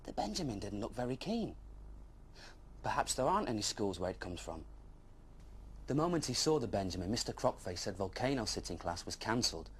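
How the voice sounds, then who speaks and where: A man's voice: on the low side, big pitch swings, a little fast, husky. A man voicing an eager, wide-eyed young dragon who tells his adventure with childlike wonder in a children's storybook. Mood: eager, amazed.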